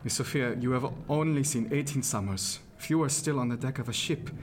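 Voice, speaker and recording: An actor playing an earnest, protective ship's surgeon in a period radio drama, with formal, cautious phrasing. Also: male voice, mid-range voice, brisk, natural voice. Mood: protective, pleading. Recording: clearly noisy, ambient sound behind.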